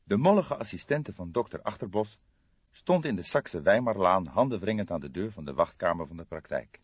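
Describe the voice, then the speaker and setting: A man's voice: sits low, expressive, moderate pace, breathy edge, dry recording, muffled recording. A man narrating an audiobook in a dramatic, scripted style.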